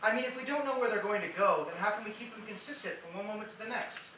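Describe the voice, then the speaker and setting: A man's voice: sits high, fast talker, bright, forceful tone. A man on stage playing a cautious, pedantic writer who voices doubts in a comic play. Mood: doubtful. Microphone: distant recording of the stage. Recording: echoey theatre, distant mic, background noise.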